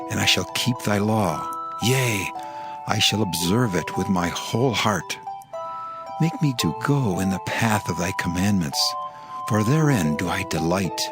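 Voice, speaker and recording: A man giving a dramatized reading of scripture. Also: low voice. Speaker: scripture reader. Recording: reading over a music bed.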